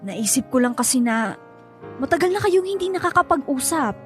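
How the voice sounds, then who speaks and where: High-voiced, pleading young Filipino peacemaker. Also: female voice, lively intonation, normal speed, faint music behind. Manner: coaxing, conciliatory, hesitant. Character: pleading, peacemaking young woman, friend to hot-head. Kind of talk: Filipino radio drama acting.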